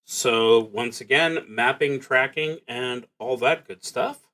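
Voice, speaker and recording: A man streaming a solo tabletop roleplaying game, thinking aloud in a halting, reflective way as he works through his moves. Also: medium pitch, pushed and bright, a little hiss or hum.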